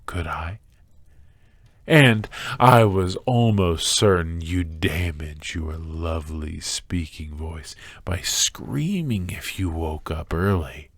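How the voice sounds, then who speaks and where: A man playing an obsessive, menacingly tender captor in an ASMR roleplay, speaking softly and intimately, often slipping into whispers. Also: low voice, husky, dry recording, full character voice. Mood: soothing, possessive. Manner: soft, intimate, drawn-out, often dropping to whispers.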